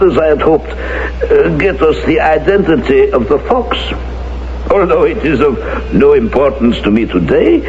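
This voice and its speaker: An old German ex-officer, condescending and taunting, in a radio drama. His accented voice is rather high for a man and very animated, with formal, halting phrasing. The old radio recording is dull and noisy. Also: exaggerated voice. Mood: smug, measured. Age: older.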